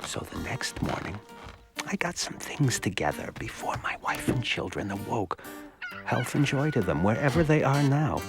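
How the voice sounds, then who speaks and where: An actor playing a naive, earnest village simpleton who narrates his own folk tale in an animated short, with storytelling pauses. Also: medium pitch, lightly acted. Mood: earnest, musing. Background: music.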